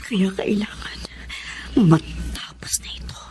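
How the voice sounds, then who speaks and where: An actress playing a long-suffering, bitterly resolute wife in Filipino radio melodrama, with slow, emotional delivery. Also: female voice, mid-range voice, mellow, in a whisper, lightly acted. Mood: cold vengeful resolve.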